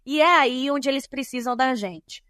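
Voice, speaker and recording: A woman's voice: high-pitched, normal speed, sharp tone, no echo, studio quality. A woman with a Bahian Brazilian accent, a guest on a podcast interview, explaining diversity and compliance in an emphatic, teaching way. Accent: Bahian Brazilian Portuguese. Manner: emphatic and didactic, lists points.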